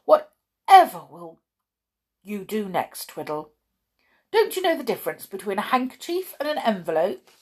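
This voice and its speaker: A fussy, scolding storybook housewife, as a woman reader puts her on, snapping her words out in a husky, breathy voice. Her pitch rises and falls in lively jumps as she nags. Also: fairly quick, hardly any pauses.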